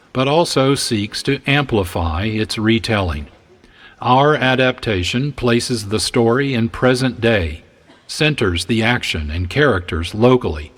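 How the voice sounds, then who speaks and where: A male radio host with a mid-pitched voice introduces a program from a script. He takes his time, setting out each phrase slowly and deliberately.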